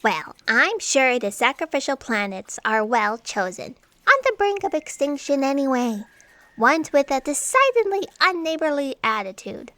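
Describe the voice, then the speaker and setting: A flustered, deferential female fact checker in a comedy audio drama. Her voice is fairly high and bright, leaping around with anxious eagerness. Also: very animated, normal speed, no echo, exaggerated voice. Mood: earnest, alarmed.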